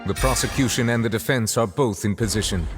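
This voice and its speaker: An actor playing a grave, composed chief judge in an anime game, speaking in a solemn, measured, formal way. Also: male voice, on the low side, music in the background, lightly acted.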